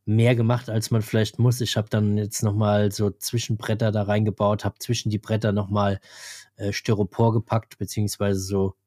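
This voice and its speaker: Man, podcast co-host, casual chat, slight cold, scratchy throat, dark mellow tone, dry close sound. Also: lowish voice, flat delivery, moderate pace, no room sound.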